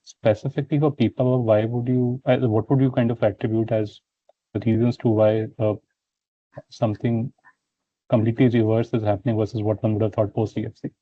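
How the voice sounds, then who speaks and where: A man asks analyst questions over a thin telephone line. His voice is on the low side and quite monotone. He is polite and hesitant, circling toward each question. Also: brisk, no room sound. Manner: hesitant, polite, roundabout phrasing. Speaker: financial analyst asking questions. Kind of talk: earnings call questioning. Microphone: phone line. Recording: thin phone line, very dry.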